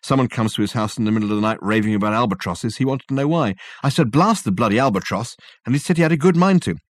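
A man's voice: mid-range voice, lively intonation, fast, no room sound, natural voice. A man voicing an effusive, self-satisfied male detective who talks in flowery, rambling sentences.

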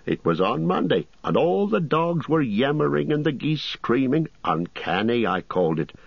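A man's voice: medium pitch, big pitch swings, moderate pace, creaky, breathy edge, no room sound, highs cut off, pitched above the performer's own voice, heavily acted. A man voicing a fussy, flustered middle-aged innkeeper, chattering in rustic turns of phrase, in a storybook reading. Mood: worried, gossipy.

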